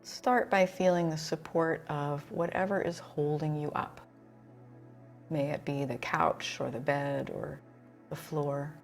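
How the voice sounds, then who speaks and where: A woman leading a guided relaxation as a yoga instructor, soothing and unhurried, with pauses between phrases. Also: deep voice, steady tone, gravelly, faint music behind.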